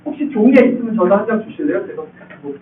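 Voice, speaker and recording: A male pastor preaching to his congregation, talking with them as he goes and checking whether they follow. His voice sits very high and moves a lot. He sounds far from the microphone, and the thin, tinny sound echoes around a large church hall. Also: expressive, quick pace, background noise. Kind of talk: expository sermon. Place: church sanctuary.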